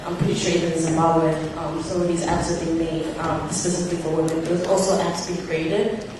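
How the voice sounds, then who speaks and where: Woman from tanzania, informal panel talk, lowish flat voice, super echoey. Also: fairly quick. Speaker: conference panel participant. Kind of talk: informal unscripted discussion remarks. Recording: roomy, distant mic, noisy hall.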